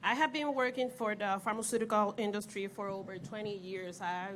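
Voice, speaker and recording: Bright-voiced Puerto Rican woman panelist. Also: mid-range voice, steady tone, fairly quick, slight background noise. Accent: Puerto Rican Spanish. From Puerto Rico. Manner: earnest, hesitant with frequent ums. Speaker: panelist, pharmaceutical validation lead. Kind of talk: panel discussion. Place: outdoor stage.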